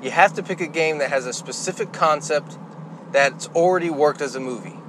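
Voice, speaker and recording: A man's voice: a little high, fairly quick, fairly bright tone, slight background noise. A man co-hosting a casual podcast, chatting bluntly and jokingly with a co-host. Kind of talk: casual conversational podcast chat about movies.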